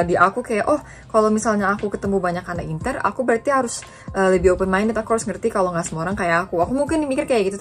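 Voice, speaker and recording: A young woman from Indonesia talking casually in a reflective vlog, chatty and dropping in English phrases. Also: mid-range voice. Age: young adult. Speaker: student vlogger.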